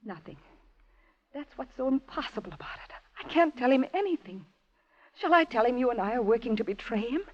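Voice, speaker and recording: An anxious, desperate wife caught in intrigue, in fifties radio drama style. Her voice is fairly high, tense and pleading, on a slightly muffled old recording. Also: expressive, brisk. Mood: distraught. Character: anxious, desperate married woman, secret communist. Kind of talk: 1950s radio drama acting.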